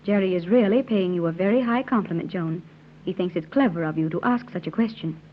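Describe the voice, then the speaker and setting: A woman playing a gentle, anxious, well-spoken mother in an old-time radio serial. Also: lowish voice, a little fast, natural voice. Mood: gentle, concerned. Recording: muffled, noisy vintage radio recording with hum.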